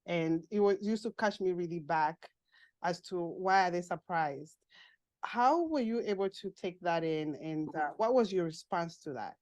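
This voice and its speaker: A woman's voice: on the low side, normal speed, a little mellow, no room sound. A woman hosting a podcast interview who came as an immigrant, asking questions with light laughter and hesitant fillers. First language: not English, grew up in an English-speaking country abroad. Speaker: podcast host.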